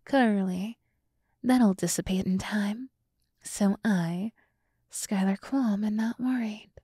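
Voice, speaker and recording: A smug, seductive demoness, acted by a woman, devotes herself to her master in an ASMR roleplay. Her voice is slow and breathy with a creaky edge, often sinking into whispers. She boasts theatrically and flatters sweetly. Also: medium pitch, no room sound, studio quality, exaggerated voice. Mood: coaxing, scheming.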